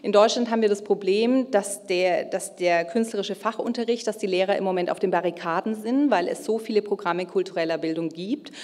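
A reverberant hall carries the voice of a middle-aged woman. She speaks at a medium pitch in the style of a formal conference lecture. Her phrasing is measured and emphatic, as if working through prepared notes. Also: a little mellow. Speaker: keynote speaker. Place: large indoor auditorium stage at a lectern. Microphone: gooseneck lectern microphone.

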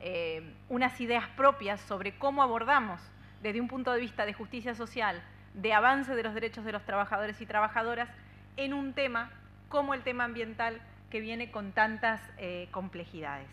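A woman's voice: fairly high pitch, moderate pace, bright, forceful tone, slight background noise. A woman in her thirties from Argentina with an Argentine Spanish accent, giving a conference talk at a table microphone, earnest and fluent with many "eh" fillers. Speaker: union policy adviser speaking on a panel. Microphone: desk microphone on a stand.

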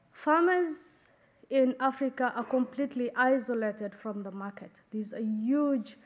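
Woman from kenya speaking at a podium mic, earnest and measured, bit high pitched, conference stage. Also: a little mellow. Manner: earnest, measured, with fillers and gestures. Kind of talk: prepared talk at a podium. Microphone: podium microphone.

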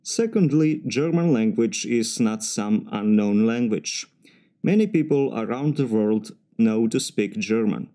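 Serbian-accented man, mellow and measured. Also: on the low side, expressive. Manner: formal, measured, slightly stiff phrasing. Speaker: mechanical engineer practicing test answers. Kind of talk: timed spoken exam practice answers.

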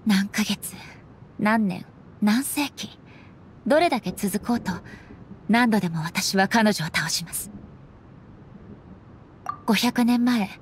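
Solemn anime game war goddess, formal n measured, mid pitch, faint rumble behind. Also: female voice. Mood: resolute. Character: solemn, resolute female warrior deity. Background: faint rumbling noise.

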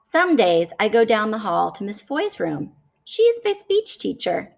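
Slow, lively woman reading a children's story. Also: medium pitch, creaky. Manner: expressive, deliberate storytelling delivery. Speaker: children's book reader. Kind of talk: scripted picture-book read-aloud for children.

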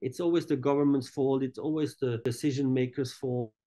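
A middle-aged man who was raised in Italy and Switzerland, giving an interview as head of a hotel group over a video call. He speaks haltingly, with many restarts and fillers. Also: medium pitch, fairly even intonation, dark tone. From born in France, raised in Italy and Switzerland. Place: indoors, room with large painting. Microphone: video call webcam.

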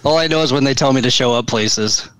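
A man's voice: medium pitch, fast, over a noisy crowd, poor audio. A middle-aged American man chatting casually as a podcast guest, rambling in a folksy way with lots of "you know". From Wyoming, US. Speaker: podcast guest, convention organizer. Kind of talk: casual chat.

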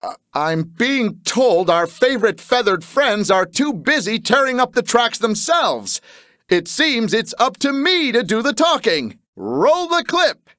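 A man playing a showy TV race-show announcer in a cartoon game, with a theatrical, drawn-out delivery. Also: very high voice, big pitch swings, a bit bright, no echo, studio quality, exaggerated voice. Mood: dramatic.

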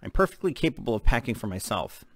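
A man's voice: mid-range voice, big pitch swings, quick pace, fairly bright tone, no room sound, clean studio sound. A man reading the part of a sarcastic, easily annoyed seventeen-year-old boy in a novel. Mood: exasperated. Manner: snotty, exasperated.

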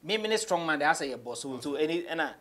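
Young Ghanaian male rapper as talk-show guest, rough-edged voice, conversational, code-switching. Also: a little high, expressive, quick pace, fairly bright tone. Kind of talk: casual interview chat, mixing English and Twi. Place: small indoor radio studio.

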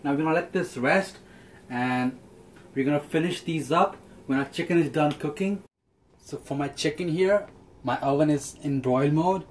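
A man's voice: a little high, a little mellow, slight background noise. A young man hosting a cooking channel, walking through a recipe step by step in a friendly, upbeat way in a small home kitchen. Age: young adult.

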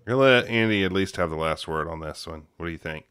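Low smooth-voiced man hosting a podcast. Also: quick pace, a bit bright, dry recording, studio quality. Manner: steers the talk, calls on each panelist. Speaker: podcast host. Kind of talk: casual film review chat.

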